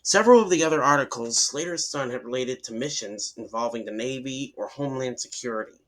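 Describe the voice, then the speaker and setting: A man's voice: medium pitch, brisk, fairly bright tone, rough recording. A man reading a horror story aloud in dramatic first-person narration, sometimes stumbling over words and restarting.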